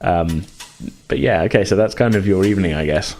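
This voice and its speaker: A man's voice: low-pitched, brisk, a little mellow, slight background noise. A man acting as game master, improvising tabletop game narration in informal, hesitant phrasing.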